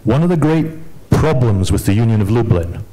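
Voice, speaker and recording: A man's voice: medium pitch, expressive, normal speed, warm tone, rough recording. A man from Scotland, a historian speaking on an academic panel in a lecturing, digressive, emphatic way.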